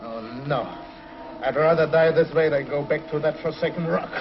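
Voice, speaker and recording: A man's voice: a little high, moderate pace, over music, natural voice. An actor playing a gloating, ruthless escaped convict in a 1950s TV drama, taunting his captives. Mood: desperate. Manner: taunting, sneering. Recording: dull lo-fi 1950s TV soundtrack.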